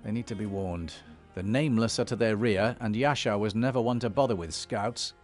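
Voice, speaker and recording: An actor playing a resolute emissary and elder in a fantasy video game, firm, serious and authoritative. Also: male voice, on the low side, fairly quick, soft background music, lightly acted. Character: commanding male dragon-blooded emissary and elder, resolute hero. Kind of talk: dramatic video game acting.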